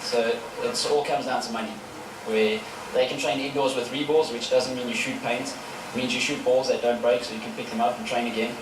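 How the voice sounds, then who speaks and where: A man's voice: medium pitch, steady tone, a little fast. A man, a paintball player chatting in an outdoor interview with lots of fillers and restarts. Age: 30s. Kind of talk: casual interview answers. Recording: echoey, far from the mic, with noise.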